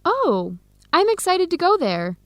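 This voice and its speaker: A woman playing a friendly young American researcher in a radio drama, with an American accent and a lively, expressive manner. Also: very high voice, a little slow, dry recording, full character voice. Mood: warm, chatty. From United States.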